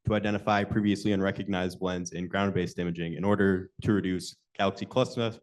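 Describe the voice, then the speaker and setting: A young man, an undergraduate, giving a rapid, dense, rehearsed flash talk at a conference. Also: low-pitched, fairly even intonation. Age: young adult. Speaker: undergraduate presenter.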